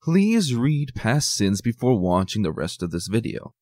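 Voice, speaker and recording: A man presenting a scripted review in an expressive, emphatic way, with dramatic pauses. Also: on the low side, dry recording, studio quality. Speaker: host, reviewer of fan fiction. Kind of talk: scripted video review commentary.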